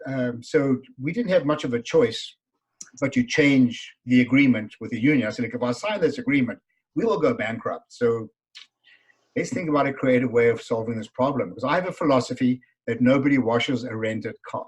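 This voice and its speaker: A middle-aged man with a South African accent and a husky voice answers interview questions. He talks conversationally and pauses often with fillers. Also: medium pitch, poor audio. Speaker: guest.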